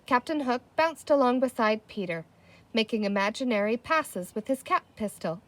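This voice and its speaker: A woman narrating a children's story aloud as an expressive audiobook reader. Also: on the high side, normal speed.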